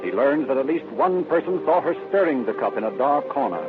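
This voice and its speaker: A man's voice: mid-range voice, big pitch swings, a little fast. Vintage radio narrator, man over music. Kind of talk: dramatic scripted old-time radio narration. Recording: thin narrow-band vintage radio recording.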